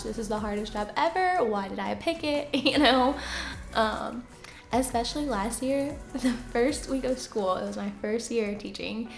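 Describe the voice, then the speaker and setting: A young woman talks in a soft, fairly high voice with a gravelly edge. She chats easily, filling pauses and laughing often. The room is echoey, with faint music behind her. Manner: chatty, laughs often, lots of fillers. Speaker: teacher answering viewer questions. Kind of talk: casual Q&A chat.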